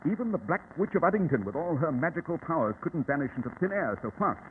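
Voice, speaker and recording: An actor playing a keen, commanding gentleman detective in a 1940s radio drama, with an incisive, theatrical and precise delivery. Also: on the high side, big pitch swings, quick pace. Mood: pondering. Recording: tinny, noisy old radio recording.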